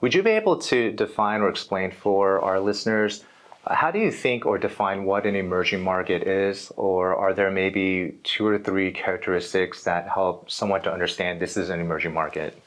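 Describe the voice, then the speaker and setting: A man's voice: deep voice, moderate pace, fairly bright tone. A man hosting an interview as an investment specialist, polite and supportive, with many listening murmurs.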